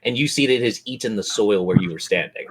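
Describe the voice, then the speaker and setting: A man's voice: fairly low pitch, quick pace, low-quality recording. Man running a tabletop game. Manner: descriptive, vivid monster narration. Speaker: game master. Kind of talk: tabletop game narration and casual rulings.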